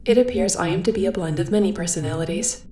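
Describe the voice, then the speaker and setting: Ship AI, female woman acting: mellow and dark-toned, deadpan, courteous, literal, clearly echoey, sci-fi roleplay. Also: fairly low pitch, slight background noise, lightly acted. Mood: polite, composed. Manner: formal, deadpan, literal, measured. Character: polite, deadpan female ship's artificial intelligence.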